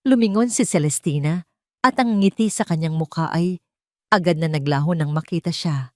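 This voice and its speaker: Low-pitched woman narrating dramatic fiction. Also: normal speed, no echo, studio quality. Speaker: story narrator. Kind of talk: dramatic scripted narration, Tagalog romance audio drama.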